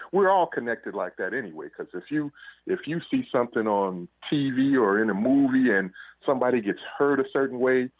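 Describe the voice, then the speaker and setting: A man's voice: mid-range voice, no echo. A man answering interview questions as an author, in a reflective, conversational way, often saying "you know". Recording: muffled, phone-like line.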